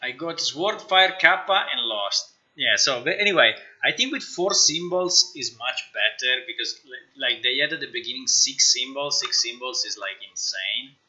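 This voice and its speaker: Sharp-voiced man chatting on a livestream. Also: mid-range voice, normal speed, hardly any pauses, highs cut off. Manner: informal, excitable, repeats words and phrases. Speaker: live streamer, game developer. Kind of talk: casual livestream chat, thinking aloud while working.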